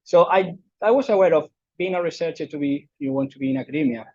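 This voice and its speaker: A middle-aged man from southern Spain speaks English with a Spanish accent, giving a conference talk. His voice sits fairly high, and he hesitates often with fillers and restarts. The sound is dry and a little dull. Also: fast talker, a little mellow, no echo. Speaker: invited speaker. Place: lecture room.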